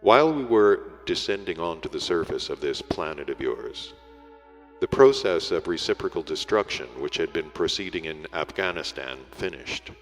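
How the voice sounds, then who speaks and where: Deep guy narrating an old formal book, measured delivery, big echo and some music behind him. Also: animated. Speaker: audiobook reader. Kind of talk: scripted literary narration. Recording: echoey hall reverb, music behind.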